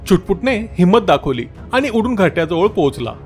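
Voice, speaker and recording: A man narrating a scripted children's story in an expressive, dramatic way. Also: high voice, fairly quick, soft background music.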